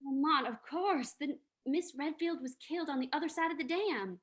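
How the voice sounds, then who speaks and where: A woman's voice: high voice, normal speed, fairly bright tone. A woman playing an earnest, anxious young lady who assists a sleuth in an old-time radio mystery. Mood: anxious, attentive.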